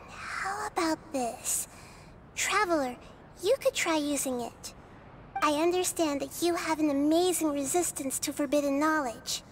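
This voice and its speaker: A woman voicing a gentle, wise young girl deity in a video game, speaking in a calm, explanatory, reassuring manner. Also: high voice, slight background noise, rough recording. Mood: reassuring, thoughtful. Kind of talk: video game character acting. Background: game music.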